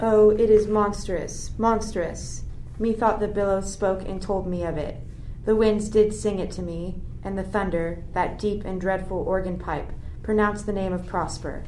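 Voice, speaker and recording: A woman's voice: medium pitch, steady tone, a little slow, mellow, faint music behind, close to an everyday voice. A woman playing a grief-stricken, guilt-ridden royal parent in an amateur Shakespeare radio play. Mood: horrified, despairing. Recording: roomy, with noisy ambience behind.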